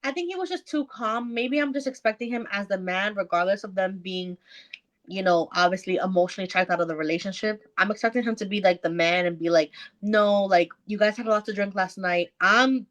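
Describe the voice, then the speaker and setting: A woman's voice: mid-range voice, fairly quick, fairly bright tone. A woman co-hosting a casual book discussion, peppering her talk with "like" and slipping into imagined dialogue. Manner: acts out imagined dialogue, filler-heavy with many likes. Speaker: book club podcast co-host.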